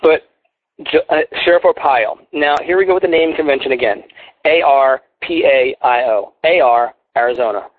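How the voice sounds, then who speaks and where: A man's voice: mid-range voice, moderate pace, dry recording. A man from Philadelphia with a faint Philadelphia accent, talking earnestly and rambling on a call-in radio show, with many restarts. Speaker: guest. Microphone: phone. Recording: tinny phone line, dry.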